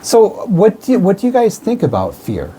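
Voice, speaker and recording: Lively American male interviewer, mellow voice. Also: sits high, moderate pace, a little hiss or hum, rough recording. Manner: eager, interjecting, repeats himself. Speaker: radio show host, interviewer. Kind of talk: casual interview.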